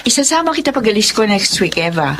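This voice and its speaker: An actress playing a smooth-talking, scheming female recruiter in a radio drama, coaxing and persuasive. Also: medium pitch, sing-song, fast, noisy background, natural voice. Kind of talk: Tagalog radio drama acting.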